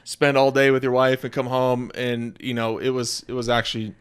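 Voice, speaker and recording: A man's voice: mid-range voice, level tone, normal speed, smooth. A man hosting a podcast, chatting casually in an upbeat, joking way. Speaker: podcast host. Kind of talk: casual chat.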